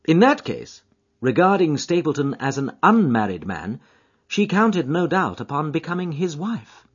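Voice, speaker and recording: A man performs a commanding, intense male detective in an audiobook. His formal Victorian lines are urgent and emphatic, pitched up and leaping widely, with a husky grain. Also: big pitch swings, a little slow, highs cut off, heavily acted. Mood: brisk, assured. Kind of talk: dramatised audiobook character dialogue.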